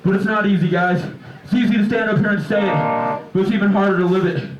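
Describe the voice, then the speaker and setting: A man's voice: high-pitched, steady tone, fast talker. A man speaking from a venue stage, earnestly urging the crowd in a preaching style. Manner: earnest, exhorting. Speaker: band member speaking from stage. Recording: echoey venue, dull sound, faint music.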